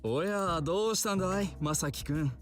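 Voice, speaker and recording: A man's voice: high voice, a little slow, a bit bright, soft background music. An actor playing a courteous, composed male doctor in a visual novel. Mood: kindly. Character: outwardly kind male doctor, coolly civil underneath. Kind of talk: Japanese visual novel voice acting.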